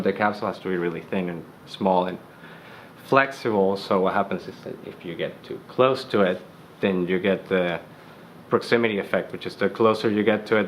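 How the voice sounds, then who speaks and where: Young guy w a deep bassy voice talking mics in a studio, chill and rambly, faint hum behind. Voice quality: deep bass-heavy voice. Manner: loose, conversational explaining with many fillers. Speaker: studio engineer. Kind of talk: gear tutorial. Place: recording studio.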